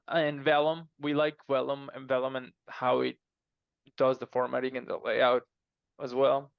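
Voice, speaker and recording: A man speaking as an interview guest in casual, halting conversation, repeating words as he explains. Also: mid-range voice, vocal fry, no echo, dull audio. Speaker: podcast guest, fiction co-author.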